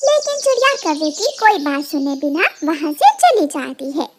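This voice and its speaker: A woman's voice: high voice, very animated, normal speed, a little hiss or hum. A woman giving scripted narration for a children's cartoon story.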